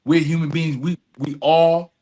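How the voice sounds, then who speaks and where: A man's voice: on the high side, flat delivery, a little slow, mellow. A man speaking as a podcast guest in earnest, conversational storytelling, repeating phrases for emphasis and often checking in with his listener. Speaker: podcast guest, advocate and executive director.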